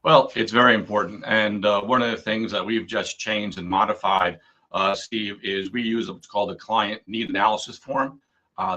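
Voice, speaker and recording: A hoarse man, an insurance agent, explaining earnestly as a guest on a radio interview. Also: fairly low pitch, sharp tone, highs cut off.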